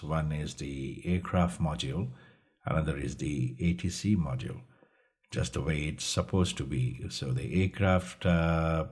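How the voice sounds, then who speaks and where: A man with a low-pitched, slightly rough and husky voice gives an instructional walkthrough. He speaks haltingly, going through each step and repeating points as a tutor would. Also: a little mellow. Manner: halting, step-by-step explaining with repetition. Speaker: instructor presenting a DIY electronics project. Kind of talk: explanatory tutorial narration over slides.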